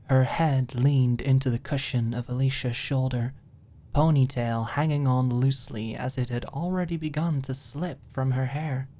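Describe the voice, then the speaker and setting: A woman's voice: a bass voice, steady tone, moderate pace, dark tone. A woman narrating a scripted audiobook in an ASMR style.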